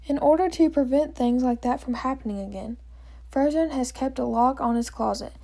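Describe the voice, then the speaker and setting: A woman with a fairly high, soft-edged, mellow voice reads a scripted story aloud as its narrator. Her delivery is plain and measured, like someone carefully reading a school project. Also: gravelly.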